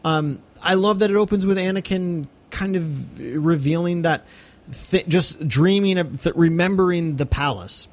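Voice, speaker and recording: A man with an American accent and a voice pitched a little higher than most men's. He talks as a podcast co-host in a casual film discussion, effusive yet reflective, halting and repeating phrases as he finds his point.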